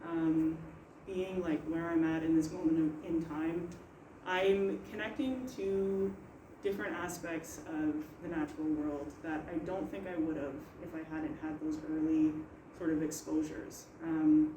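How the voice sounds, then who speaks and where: A woman's voice: deep voice, fairly even intonation, measured pace, noisy background. A young woman on a panel speaking earnestly and thoughtfully in a casual way. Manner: earnest, thoughtful, many fillers. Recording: echoey room, far from mic.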